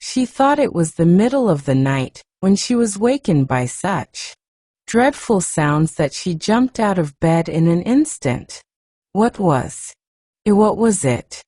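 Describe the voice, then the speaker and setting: Soft woman storyteller, slow bedtime reading, warm mellow tone, dry close mic. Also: mid-range voice, sing-song, no room sound. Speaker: audiobook reader.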